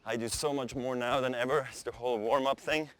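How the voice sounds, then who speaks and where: A man in his thirties whose first language is Swiss German talks in an easygoing, chatty way. He explains his warm-up between exercises on an outdoor tennis court, worn mic picking him up as he moves. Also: medium pitch, a little fast. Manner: relaxed, chatty, laughs easily. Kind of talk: casual explanation during practice.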